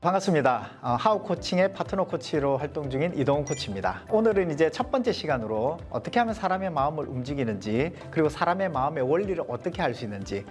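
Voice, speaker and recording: A man with a softened Gyeongsang accent, giving a leadership coaching lecture to a small group and posing rhetorical questions. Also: on the high side, lively intonation, quick pace, hardly any pauses, soft background music. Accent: Gyeongsang Korean, mostly softened. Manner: poses rhetorical questions, explanatory.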